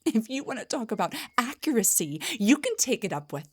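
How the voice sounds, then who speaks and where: A woman voicing an indignant, defensive half-drow bard in a scripted fantasy roleplay audio, with a breathy, theatrical delivery. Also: fairly high pitch, very animated, brisk. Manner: theatrical, sarcastic, emphatic, stammers when rattled. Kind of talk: scripted roleplay monologue, one side of a conversation.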